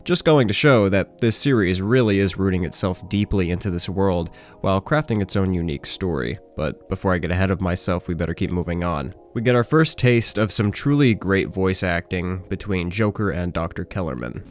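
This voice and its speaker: A man's voice: sits low, fairly quick, few pauses. A man hosting a horror review, reading a scripted video essay as narration. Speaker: host, horror series reviewer.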